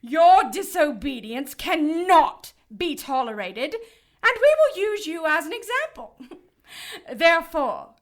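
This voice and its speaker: A woman's voice: extremely high pitch, big pitch swings, slow, heavily acted. A woman playing a haughty, cruel cherub in an audio drama, speaking in a formal, imperious, gloating manner. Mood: stern, gloating. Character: haughty, cruel female cherub passing judgment for heaven's council.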